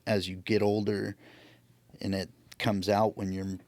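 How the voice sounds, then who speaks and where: A man's voice: on the low side, level tone, a little slow, darkish tone, no room sound. A man speaking as a podcast guest, in casual, reflective interview chat.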